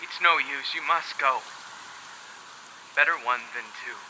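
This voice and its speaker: Doomed explorer over noisy, crackling line. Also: male voice, medium pitch, unhurried. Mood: grim, urgent. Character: doomed male explorer, grimly urging his friend to flee. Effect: radio or field telephone filter. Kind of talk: theatrical horror radio drama.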